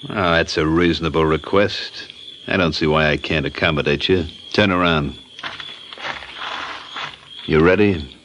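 A man's voice: a bass voice, a little slow, long pauses, a bit bright, dull audio, a little hiss or hum. An actor playing a cornered small-time hired gunman in 1940s radio style, with tough, defensive street talk. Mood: menacing.

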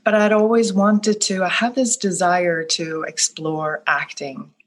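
A woman's voice: fairly low pitch, steady tone, unhurried. A middle-aged woman from Sweden, a life coach whose first language is Swedish, talking thoughtfully about her path in an interview with many restarts. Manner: soft-spoken, thoughtful, with frequent restarts. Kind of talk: reflective interview answer.

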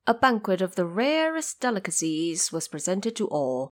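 Animated woman narrating an audio drama. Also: medium pitch. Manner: theatrical, deliberate emphasis.

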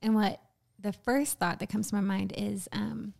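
A woman's voice: mid-range voice, steady tone, moderate pace, vocal fry, darkish tone. A woman in her twenties, a podcast guest, speaking encouragingly about faith in casual talk. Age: 20s.

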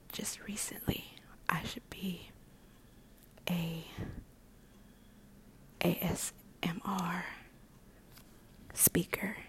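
A middle-aged woman speaks entirely in a soft unvoiced whisper, unhurried and gentle. She draws words out and lets repeated words trail off to almost nothing, in a soothing ASMR manner. Her nerves show lightly beneath the calm. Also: medium pitch, poor audio. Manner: slow, soothing, repeats words fading away. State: nervous. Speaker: podcast host.

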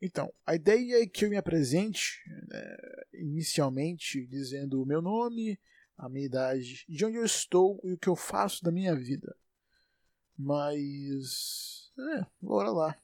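A man's voice: a little high, lively intonation, unhurried, a little mellow, no echo, studio quality. A man in his twenties from Salvador with a Bahian accent, hosting a solo podcast in a rambling, self-correcting way. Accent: Bahian Portuguese. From Salvador, Bahia, Brazil. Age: 20s. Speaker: podcast host. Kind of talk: unedited solo podcast monologue.

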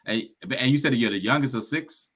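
A man's voice: medium pitch, a little fast, a bit bright. A man hosting a casual podcast interview who often answers "mm-hmm" and restarts his questions. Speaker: podcast host.

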